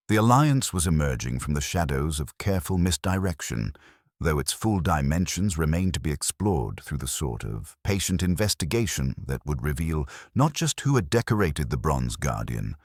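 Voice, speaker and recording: A man narrating a scripted mystery story in a measured, formal storytelling manner. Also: very deep voice, no echo, clean studio sound.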